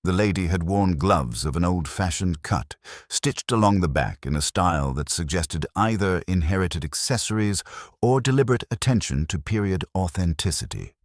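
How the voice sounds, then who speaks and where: Very deep, husky male story narrator. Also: lively intonation, moderate pace, dry recording, clean studio sound. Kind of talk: scripted mystery story narration.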